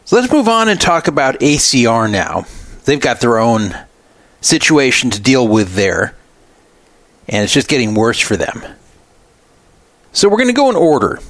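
A middle-aged man hosting a talk radio show, giving opinionated solo commentary with emphatic, deliberate phrasing and repetition for emphasis. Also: medium pitch, wildly swooping pitch, a bit bright, dry recording.

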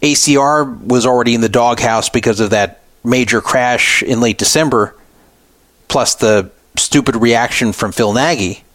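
A man's voice: medium pitch, moderate pace, fairly bright tone, no room sound. A man hosting a talk podcast, giving opinionated, explanatory commentary off the cuff. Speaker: podcast radio host. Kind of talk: unscripted poker news commentary.

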